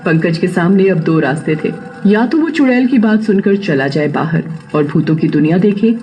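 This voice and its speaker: A man's voice: sits high, expressive, moderate pace, darkish tone. A man narrating a scripted horror story in a dramatic, suspenseful storytelling manner. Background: faint music.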